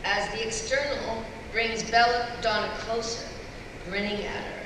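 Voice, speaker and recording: A woman narrates stage directions from a script, live. Her voice carries through a large, strongly echoing hall. The microphone sounds distant, and there is clear noise in the background. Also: a little high, steady tone, measured pace. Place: large indoor convention hall before fans. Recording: very echoey convention hall, distant, noisy.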